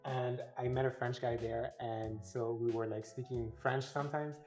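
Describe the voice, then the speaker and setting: A woman's voice: extremely low pitch, steady tone, moderate pace, a little mellow, faint music behind. A young woman hosting a travel vlog, talking casually and hesitantly with restarts. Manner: friendly, hesitant, lots of "like" and restarts. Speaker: vlogger host.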